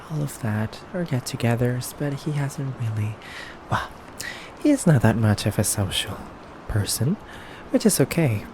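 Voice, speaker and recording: A man playing an aloof, condescending male vampire in an ASMR roleplay, measured and halting, often dropping toward a breathy whisper. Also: mid-range voice, noisy background. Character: aloof, condescending, elegant femboy vampire interrogator. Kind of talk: slow, soft ASMR roleplay monologue.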